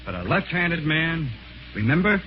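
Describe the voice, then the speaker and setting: An actor playing a cool, cunning man avenging his sister, deliberate and commanding, in old-time radio mystery style. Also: a little high, sing-song. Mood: calm, accusing. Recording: noisy, dull vintage radio recording.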